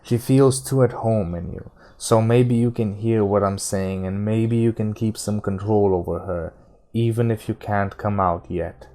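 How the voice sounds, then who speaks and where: A stern gunslinger voiced by a male audiobook reader, quietly menacing and controlled. The voice is low and gravelly, with a soft, even delivery. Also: a little slow. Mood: quiet warning. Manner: even, silky, soft, with sudden growls.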